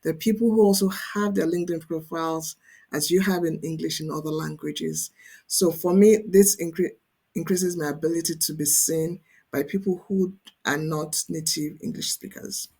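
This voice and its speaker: A woman's voice: low-pitched, fairly even intonation, moderate pace, gravelly, darkish tone, breathy edge. A woman presenting an online tutorial as a coach, explaining conversationally and emphatically, often checking in with the listener. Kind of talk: instructional screen-share tutorial, conversational.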